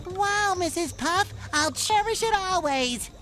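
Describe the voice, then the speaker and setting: A man voicing an eager, naive, cheerful young sea sponge with a high nasal voice in an animated video game. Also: takes it slowly, full character voice. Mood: earnest, grateful. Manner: perky, earnest. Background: loud music.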